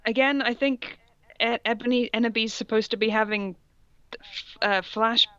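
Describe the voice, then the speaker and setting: A woman with a fairly high voice reads aloud for comedy, theatrical and sardonic, dropping in casual, sweary asides. The sound is very dry and a little muffled. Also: steady tone, normal speed, a bit bright, smooth, no echo. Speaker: co-host reading fan fiction aloud. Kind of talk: casual comic read-aloud with mocking asides.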